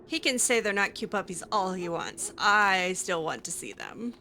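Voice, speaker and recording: A woman's voice: medium pitch, moderate pace, sharp tone, slight background noise. A woman improvising casual in-character dialogue as she plays a role-playing game, chatty and hesitant with a lightly sardonic edge. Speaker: gamer role-playing her character aloud.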